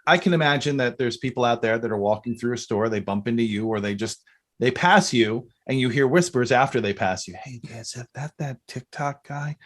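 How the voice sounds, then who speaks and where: A man with a medium-pitched voice hosts a casual podcast chat. His delivery is conversational and informal, the way one talks with a guest about shared interests. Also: expressive, a little fast. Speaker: podcast host. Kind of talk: casual pop culture chat.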